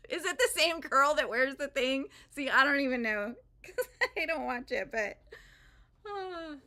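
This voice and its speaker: A woman's voice: high voice, huge pitch swings, takes it slowly, pauses often, bright, forceful tone. A woman hosting a live crochet stream, chatting casually and rambling with frequent fillers and laughs as she works. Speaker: crochet livestream host.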